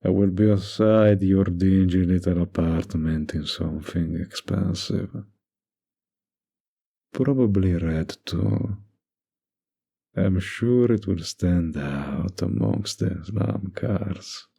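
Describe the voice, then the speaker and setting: Man playing a slow, husky flirty mafia boss. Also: sits low, dark tone, heavily acted. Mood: commanding, flirty.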